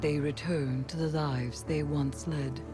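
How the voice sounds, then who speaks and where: A solemn female storyteller narrates a game tale very slowly. Her voice sits a little low, grave and deliberate, with clear musical scoring underneath. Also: fairly even intonation. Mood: solemn, mournful. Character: solemn female storyteller recounting an old sorrow. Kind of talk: scripted narration in a game cutscene. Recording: dramatic music behind the voice.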